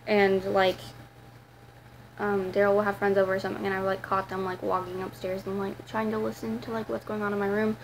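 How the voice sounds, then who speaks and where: A teenage girl with a raspy voice, talking to her camera in a rambling, casual vlog full of fillers and restarts. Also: mid-range voice, flat delivery, a little fast, poor audio. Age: teens. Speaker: vlogger.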